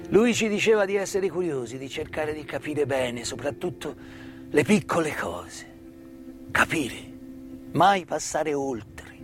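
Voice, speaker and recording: A middle-aged man delivers a narrative stage monologue with deliberate, emphatic phrasing and dramatic pauses. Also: fairly high pitch. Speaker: stage actor and storyteller. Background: live instrumental music.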